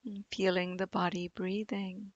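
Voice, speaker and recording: A woman meditation teacher leading a guided practice in a gentle, soothing manner, leaving long pauses between phrases. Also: medium pitch, little pitch movement, no echo, muffled recording. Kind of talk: guided body scan meditation.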